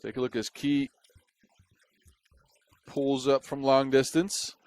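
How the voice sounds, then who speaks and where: A man with a Dutch accent gives play-by-play commentary on a basketball game at an ordinary middle pitch. He talks in a chatty, wry way and slips jokes in between the action. His voice sounds dry and close to the mic. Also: little pitch movement, takes it slowly, a little mellow, smooth, no room sound. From Netherlands.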